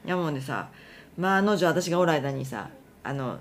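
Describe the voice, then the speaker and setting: A woman's voice: low voice, fairly quick, slight background noise. A woman with a central Japanese regional dialect chatting casually, telling her story and acting out bits of quoted talk. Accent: central Japanese regional dialect (Tokai-Kansai). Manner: animated storyteller who acts out quoted remarks. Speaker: wife telling about her side job.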